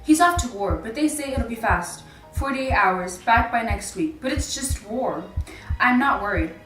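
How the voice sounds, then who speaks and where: A blithe, shallow young wife in company, acted by a woman, speaking in a fairly high, nonchalant voice. She sounds echoey and away from the microphone in this student drama. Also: expressive, a little slow, a bit bright. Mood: blithely unconcerned. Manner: breezy, nonchalant. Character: carefree, shallow married socialite friend, blithely unworried. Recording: echoey, distant mic, faint music.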